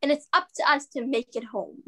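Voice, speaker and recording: A woman playing an upbeat, coaxing stranger in a comedy scene reading, encouraging and a little halting. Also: high-pitched, pushed and bright.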